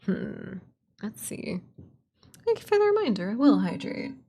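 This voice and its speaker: Creaky-voiced woman streamer chatting casually. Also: a little high, sing-song, measured pace, mellow. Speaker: streamer, writer and voice actor. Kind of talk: casual livestream chat while working.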